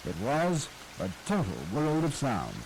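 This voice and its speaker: A man narrates in a rather high voice that rises and falls with real animation. His delivery is scripted and nostalgic, like a host telling a story. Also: huge pitch swings, measured pace, poor audio. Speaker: radio history host.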